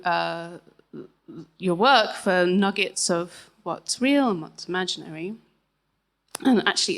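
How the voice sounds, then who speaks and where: A woman in her thirties, an author, reading her own essay aloud at a book launch, measured with occasional chatty asides. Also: fairly low pitch, vocal fry, fairly bright tone.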